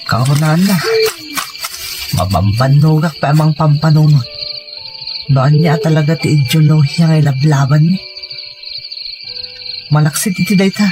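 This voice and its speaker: A man's voice: fairly high pitch, measured pace, pauses often. A man narrating a dramatic first-person story in a reflective manner. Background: music.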